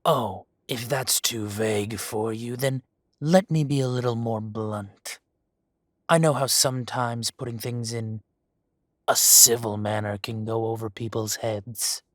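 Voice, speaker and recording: A man voicing a menacing adult male dragon gang boss in an audio roleplay drama. He speaks softly and condescendingly, with deliberate, slow threats and hushed whispers. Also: mid-range voice, steady tone, no room sound, clean studio sound, natural voice. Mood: silky menace. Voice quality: soft-spoken, silky and condescending, drops to whispers.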